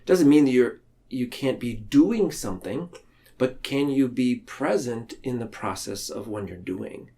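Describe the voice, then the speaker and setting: A man talking reflectively and explaining ideas in a podcast discussion, haltingly, often circling back to rephrase. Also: medium pitch, expressive, warm tone.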